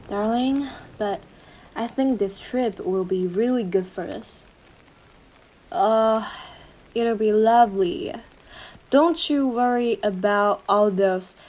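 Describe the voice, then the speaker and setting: A woman's voice: medium pitch, a little mellow, rough recording. A woman playing an obsessive, possessive girlfriend in a roleplay audio drama, cooing endearments to the listener with a sweet but menacing manner. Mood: soothing, coaxing.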